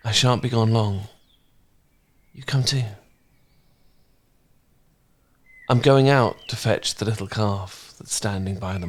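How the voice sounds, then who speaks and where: A man playing a gentle, caring housemate in an intimate ASMR romance roleplay, speaking softly and reassuringly. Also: fairly low pitch. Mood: tender poetry reading. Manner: soft, reassuring, unhurried conversational delivery. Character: gentle, caring male housemate, shyly fond and easygoing.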